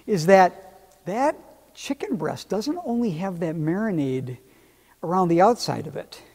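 A man's voice: on the high side, breathy edge. A middle-aged man preaching a sermon as pastor, speaking expressively with a lapel mic in a church sanctuary. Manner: expressive, gesturing with both hands. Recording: long reverb of a church hall.

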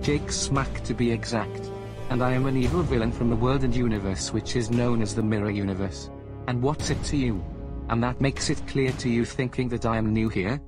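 Man doing cocky cursing villain, mid-pitched, rude and taunting, music underneath. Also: fairly even intonation, moderate pace, close to an everyday voice. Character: foul-mouthed arrogant evil villain man. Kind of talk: amateur voice drama.

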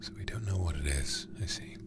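A man reading a horror game aloud in ASMR style, hushed, breathy and deliberate, close to the microphone. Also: sits low, heavy background noise. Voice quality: breathy, whispery. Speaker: livestreamer playing a horror game.

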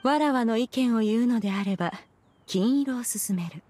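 A woman playing a dignified, wise immortal auntie in an anime game, with archaic, regal speech and measured delivery. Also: a little high, mellow, close to an everyday voice. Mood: wise, kindly. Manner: stately, self-assured, measured. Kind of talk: Japanese anime game acting.

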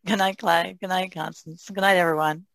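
A woman's voice: fairly low pitch, fairly even intonation, moderate pace, creaky, fairly bright tone, no room sound. A woman chatting casually as a panelist on an art podcast. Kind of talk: casual chat.

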